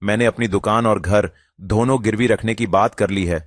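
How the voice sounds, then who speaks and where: A man plays a worried shopkeeper father in a cartoon, an earnest elder brother. The voice sits low and sounds dry and close. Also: fairly even intonation, moderate pace, dry recording, lightly acted. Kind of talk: cartoon voice acting.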